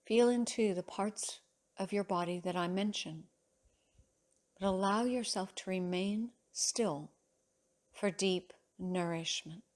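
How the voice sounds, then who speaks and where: Slow, soothing woman guiding meditation. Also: mid-range voice, a little mellow. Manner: soothing, measured, with long pauses. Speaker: yoga teacher guiding meditation. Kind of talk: guided relaxation meditation.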